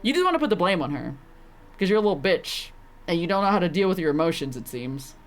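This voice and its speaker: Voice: medium pitch, very animated, quick pace. A game streamer reacts live in a mid-range voice. The delivery is casual and incredulous, full of slang and swearing, like talking straight back at the characters on screen.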